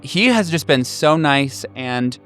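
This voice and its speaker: Man playing an effusive, stammering middle-aged friend, lifted pitch. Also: animated, slow pace, fairly bright tone, soft background music. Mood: gushing.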